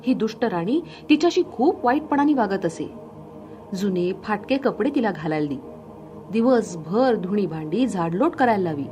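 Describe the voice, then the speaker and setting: A woman storyteller giving expressive, scripted narration of a children's fairy tale. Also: on the high side.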